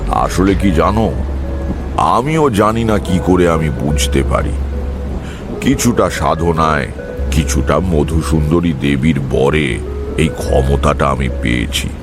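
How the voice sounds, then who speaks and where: A man's voice: very deep voice, exaggerated voice. A man playing an amused, mysterious middle-aged tantric who tells his tales in a knowing way in an audio drama. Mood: knowing, confiding. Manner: unhurried, knowing, chuckling raconteur. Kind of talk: dramatised audio story acting. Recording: music under the voice.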